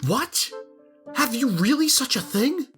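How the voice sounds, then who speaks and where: A woman voicing a lovelorn, vain male glass blower in a storybook audio drama, husky and pleading. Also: high-pitched, animated, a little slow, a bit bright, soft background music. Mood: eager, longing.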